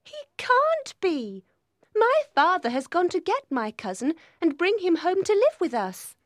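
Bratty little girl in a storybook, very high swoopy voice, pouty n bossy. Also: very animated, a little slow, no echo, heavily acted. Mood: indignant disbelief. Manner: pouting, imperious. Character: spoiled, willful, haughty little rich girl.

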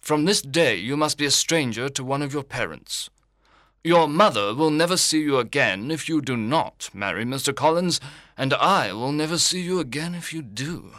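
A man playing a dry, ironic older father in a literary audiobook, speaking deliberately. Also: a little high, lively intonation, a bit bright, no room sound. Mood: wry, stern. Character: dry, wry older father of grown daughters. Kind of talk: dramatised literary audiobook reading.

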